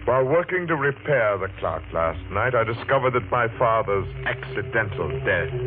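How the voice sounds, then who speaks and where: Through muffled vintage radio sound with music behind it comes a brooding middle-aged avenger. His voice is low and swings widely in pitch. He tells his murderous radio mystery deliberately and dramatically. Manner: deliberate, dramatic, halting when speaking to others. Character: brooding, vengeful middle-aged clock repairman, coolly plotting murder. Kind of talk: 1940s radio mystery acting, first-person narration.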